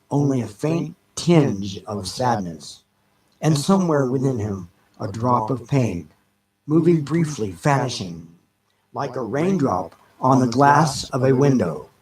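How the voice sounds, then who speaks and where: Slow, deliberate man reading a novel. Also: medium pitch, poor audio. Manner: measured, deliberate, pausing between phrases. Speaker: narrator reading a novel aloud. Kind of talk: dramatic audiobook narration.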